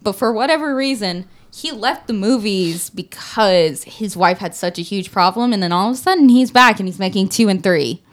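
A woman's voice: mid-range voice, lively intonation, normal speed, few pauses. A woman speaking as a podcast panelist in casual chat, blunt and opinionated, swearing freely.

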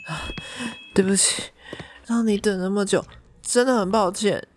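A man playing a shy, muddled, sweet young dentist in an audio drama, soft-spoken and hesitant, with a Taiwanese Mandarin accent. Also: high voice, steady tone, unhurried. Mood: apologetic.

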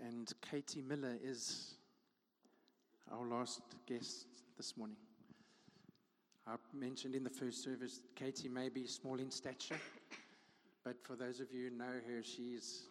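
A male pastor who prays and speaks in a steady, very even tone that stays close to one pitch. His voice rings with the long reverb of a large church hall. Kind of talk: church prayer and introduction of a guest.

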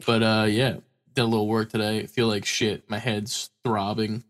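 A man's voice: on the low side, level tone, normal speed. Stuffy, tired man on a podcast. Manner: tired, rambling, swears casually. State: stuffy nose from a cold. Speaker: podcast co-host. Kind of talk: casual podcast chat.

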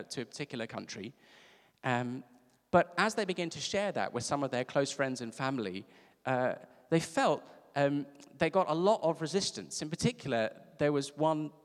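A man from Africa, preaching a sermon in a conversational storytelling way with emphatic repeats. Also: fairly high pitch. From South Africa. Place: church service. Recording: reverberant church hall.

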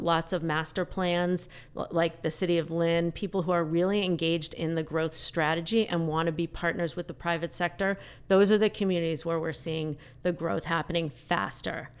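A middle-aged woman talks as a podcast guest about real estate, in a measured, upbeat, explanatory way. Her voice sits low and sounds smooth and resonant. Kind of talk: podcast interview.

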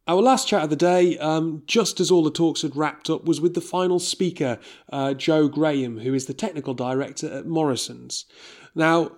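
A man's voice: fairly high pitch, normal speed. A man in his thirties with a North East English accent, narrating as a podcast host and recapping an event in an earnest, reflective way. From North East England. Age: 30s.

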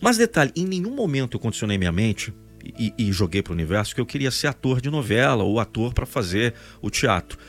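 An animated man with a São Paulo state Brazilian accent hosts a casual podcast. His pitch swings widely as he makes his points with emphasis. Music plays along with his voice. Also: a little fast. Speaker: podcast host, voice-over announcer and actor.

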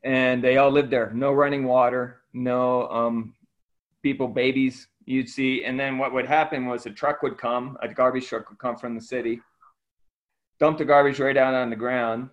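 Middle-aged man, mid-pitched, conversational storyteller as interview guest, slightly dull lo-fi recording. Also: level tone, normal speed. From Ecuador, raised by missionary parents. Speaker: interview guest, missionary aid worker.

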